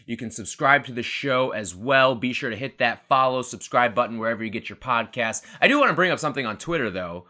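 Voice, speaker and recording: A man's voice: medium pitch, expressive, fast, few pauses, sharp tone. A man in his twenties co-hosting a casual sports podcast, chatty and rambling, laughing at himself. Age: 20s. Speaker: podcast co-host.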